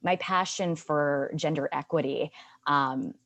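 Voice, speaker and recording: Woman, thirties, low pitch, founder on panel, earnest, video call. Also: takes it slowly, fairly bright tone. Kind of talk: webinar panel talk.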